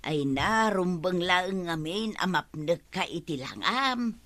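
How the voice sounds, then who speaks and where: A woman's voice: fairly low pitch, animated, slow pace, fairly bright tone. An actress with a Filipino accent playing a gossipy, chiding village woman in a radio comedy drama. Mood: gossiping.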